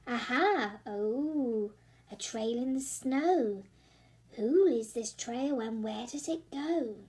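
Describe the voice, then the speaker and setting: A woman's voice: on the high side, slow pace, a little mellow, breathy edge. A woman voicing a bold, curious young monster girl in a children's storybook, exclamatory and singsong. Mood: curious, excited.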